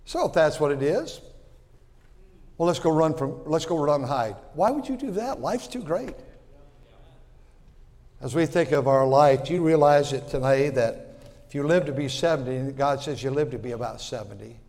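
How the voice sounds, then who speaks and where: A man in his sixties preaching a sermon in a folksy way, repeating words and swinging from loud to quiet. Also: a little high, lively intonation, normal speed, in a large hall. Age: 60s. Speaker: pastor.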